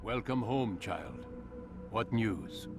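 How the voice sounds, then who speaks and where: Calm, paternal master of assassins. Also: male voice, on the low side, takes it slowly, over music. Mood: calm authority. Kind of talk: video game cutscene acting.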